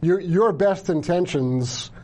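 A male host with a voice pitched a little high for a man, yet with a warm, mellow tone. He talks emphatically and conversationally, often stumbling over his first words as he starts a thought. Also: slow. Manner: emphatic, repeats words when starting a sentence. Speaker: interviewer, show host. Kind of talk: conversational interview hosting.

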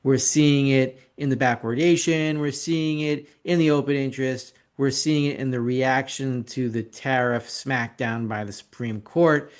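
A man's voice: mid-range voice, steady tone, unhurried, few pauses. A man hosting an investing video report, talking through charts in a casual, opinionated, rambling way with sarcastic asides. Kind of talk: casual market commentary with slides.

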